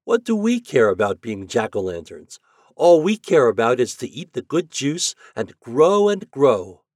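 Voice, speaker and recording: A man's voice: medium pitch, big pitch swings, a little slow, a little mellow, dry recording, studio quality, voice pushed up. A man voicing plain-spoken, carefree pumpkin plants in a storybook, with a breezy and emphatic manner.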